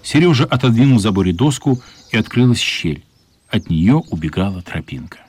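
The storyteller of a children's radio drama, with a lowish, husky voice. He reads literary narration in a measured, descriptive manner. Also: very animated, darkish tone, no echo.

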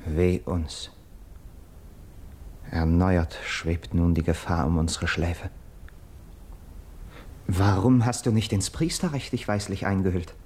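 An actor playing an urgent, persuasive young nobleman of Greek myth in a classical verse radio drama, with declamatory, measured stage diction. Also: sits low, steady tone, darkish tone, slight background noise. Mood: dismayed.

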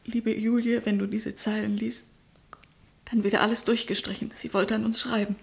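An actress in a German radio drama playing a countess's anxious, grieving friend, speaking in a hushed, earnest, distressed way. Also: female voice, mid-range voice, flat delivery, normal speed, creaky, natural voice.

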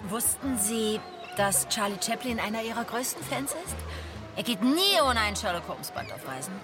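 An actress in a German radio drama playing a proud, chatty wife with a breezy, teasing manner. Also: female voice, on the high side, animated, moderate pace, close to an everyday voice. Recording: music behind the voice.

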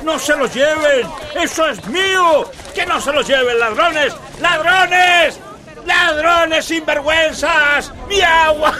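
An actor playing a jovial, grasping farmer who peddles water, in a radio soap opera. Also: male voice, extremely high pitch, slow, sharp tone, full character voice. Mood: panicked outrage. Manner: boisterous hawker with frequent hearty laughter. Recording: street bustle with crowd and vehicles.

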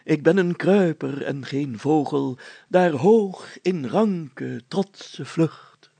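Man acting out a pompous, high-pitched village mayor. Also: drawn-out words, creaky, warm tone, breathy edge, no room sound, exaggerated voice. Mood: grandly solemn. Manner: solemn, declamatory, grandly formal. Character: pompous, proud village mayor, secretly a poet. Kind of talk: acted storybook dialogue.

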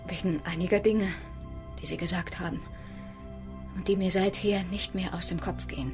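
A woman's voice: lowish voice, fairly even intonation, normal speed, natural voice. Actress as a determined woman sailor over music. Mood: calm, earnest. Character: determined, proud woman sailor, recovering from injury. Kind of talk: German radio drama acting. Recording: studio radio drama with music underneath.

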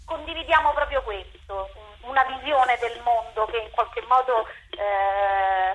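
Casting: woman, theatre director being interviewed, bright and slightly high voice, thoughtful with repeated words. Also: a little slow, rough recording. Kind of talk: radio interview, explaining own work.

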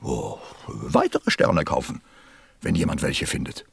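An actor playing a busy, self-important middle-aged businessman in a radio play, with a gravelly, husky voice and a testy, muttering manner. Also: extremely low pitch, animated, measured pace, dry recording, heavily acted. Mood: smug. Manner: pompous, testy, muttering, swooping. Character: busy, self-important 54-year-old businessman counting stars.

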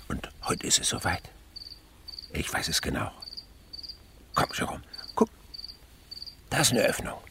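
Old naturalist uncle in a radio play, swoopy gravelly voice, fascinated and gentle, hushed explaining. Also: male voice, fairly low pitch, slight background noise, exaggerated voice. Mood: hushed excitement. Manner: animated, hushed storytelling, explaining with wonder. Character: eager older male naturalist uncle, tender and fascinated by insects. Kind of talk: German radio play acting.

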